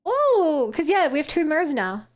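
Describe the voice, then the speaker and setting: A woman's voice: sits high, sing-song, moderate pace. A woman with a New Zealand accent streaming a game, chatting casually, thinking aloud and answering her chat. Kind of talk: casual gameplay commentary.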